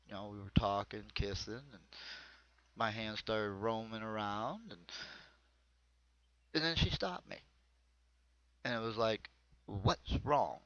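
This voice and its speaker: A man's voice: lowish voice, slow, long pauses, a bit bright, dry recording. American man rambling through a comedy monologue. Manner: rambling, conversational storytelling. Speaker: amateur comedian. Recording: dull, lo-fi close dry recording.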